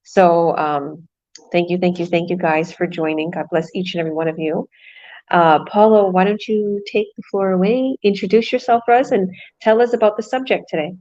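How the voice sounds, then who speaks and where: A woman's voice: fairly even intonation, moderate pace, mellow, dry recording. Enthusiastic woman host, dull dry sound. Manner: enthusiastic, repetitive sales pitch. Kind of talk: motivational online show host.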